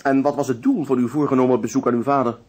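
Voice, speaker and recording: An actor playing an unflappable police inspector in a Dutch radio drama, questioning in a dry, faintly sardonic way. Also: male voice, medium pitch, fairly even intonation, quick pace, a little mellow, lightly acted. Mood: dry, probing.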